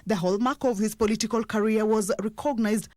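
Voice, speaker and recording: A woman's voice: medium pitch, fairly even intonation, brisk, mellow, no room sound. A woman with a Kenyan accent narrating a scripted television news report.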